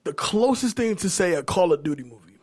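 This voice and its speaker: An American man with a fairly high-pitched voice talks casually as a podcast panelist. His delivery is animated and full of slang, in the manner of a relaxed chat among friends. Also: brisk. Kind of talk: casual chat about movies.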